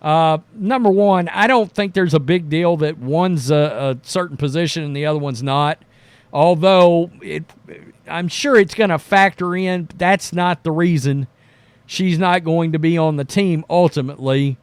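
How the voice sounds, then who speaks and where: A man's voice: a little high, a little slow, smooth, dry recording. A man giving casual, opinionated sports commentary as a show host, talking in a blunt, informal way. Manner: blunt, informal, mildly profane.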